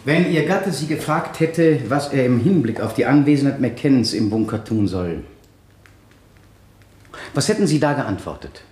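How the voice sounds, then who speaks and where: A man's voice: mid-range voice, lively intonation, moderate pace, darkish tone, close to an everyday voice. A man playing a relentless prosecutor cross-examining a witness in a 1970s German radio drama. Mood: pointed, doubting. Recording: roomy radio play studio sound.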